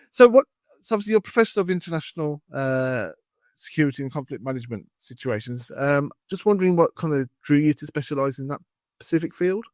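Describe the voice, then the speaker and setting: A man's voice: on the high side, lively intonation, a little fast, dry recording. Casual young male podcast host, dry sound. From England. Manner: casual, rambling questions with fillers. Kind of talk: podcast interview.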